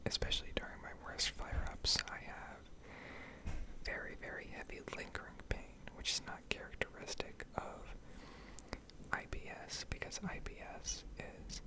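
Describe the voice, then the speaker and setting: A woman speaking in a soft, breathy whisper close to the microphone, rambling and explaining in a hesitant ASMR style. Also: moderate pace, rough recording. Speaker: ASMR video creator.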